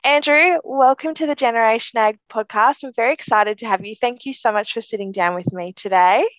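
A woman's voice: a little high, brisk, a bit bright, faint music behind. A woman hosting a podcast, reading an introduction and then encouraging her guest with affirming remarks. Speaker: podcast host. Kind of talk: podcast interview, reads intro then chats.

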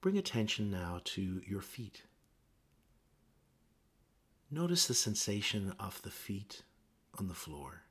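Male meditation guide, low-pitched, husky, gentle, measured, pausing between phrases. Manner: gentle, measured instruction with pauses.

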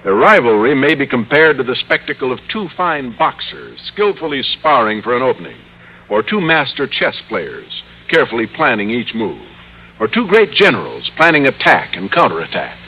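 A man's voice: mid-range voice, huge pitch swings, normal speed, breathy edge, full character voice. A man narrating an old radio comedy as a mock-grand, scripted announcer. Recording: dull vintage radio recording.

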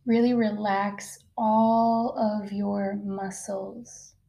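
Female meditation guide; very relaxed, dark-soft tone, little pitch movement, gentle instructional delivery. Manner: soft-spoken, unhurried, with long pauses. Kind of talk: guided meditation, slow soothing narration.

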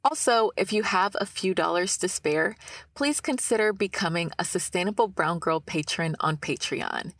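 Podcast host, female, slightly low voice, close dry recording with very little room. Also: a bit bright, no echo. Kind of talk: scripted podcast introduction and interview.

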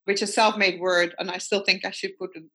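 A woman's voice: medium pitch, level tone, fast, bright, forceful tone. A woman with a Dutch accent, whose first language is Dutch, speaks as a guest artist in a casual interview over a video call. She thinks aloud in a reflective way and circles back to her points.

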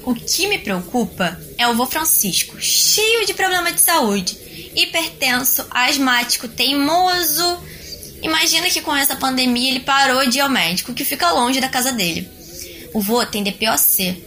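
A woman playing an outspoken, caring but sarcastic young flatmate in an audio drama, with a Brazilian accent and colloquial speech. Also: sits high, moderate pace. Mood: worried, sarcastic. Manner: colloquial, direct.

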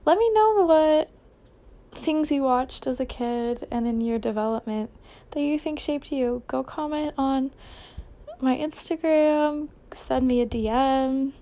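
High-pitched, slow-talking young American woman. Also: warm tone. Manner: informal, self-questioning, trailing off and correcting herself. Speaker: solo podcast host. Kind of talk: casual rambling podcast chat.

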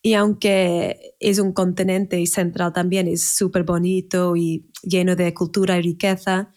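Podcast guest: English woman, 30s, native English speaker, lowish voice, halting and searching in Spanish. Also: flat delivery, darkish tone. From England. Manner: searching for words, restarts in a second language. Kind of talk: casual conversational interview.

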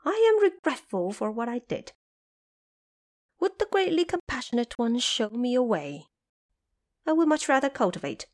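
A woman voicing a trapped male monkey sage in an audio drama, eager and pleading, with a loud, excitable delivery. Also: fairly high pitch, moderate pace, pauses often, voice pushed up.

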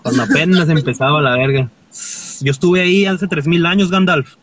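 A man's voice: fairly high pitch, steady tone, normal speed, a bit bright, slight background noise. A Mexican man in his twenties, a guest chatting casually in a joking, slangy, rambling way. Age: 20s. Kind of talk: casual chat.

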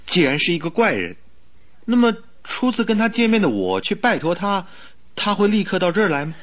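An actor playing an anxious, loyal friend of a sick detective in a radio drama, speaking earnestly and fretfully. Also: male voice, high-pitched, big pitch swings, brisk, a bit bright.

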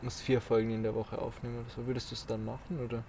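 A man's voice: mid-range voice, level tone, racing, creaky, mellow, slight background noise. A man in casual podcast chat, speaking hesitantly with frequent restarts. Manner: hesitant, many restarts and fillers. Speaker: podcast co-host.

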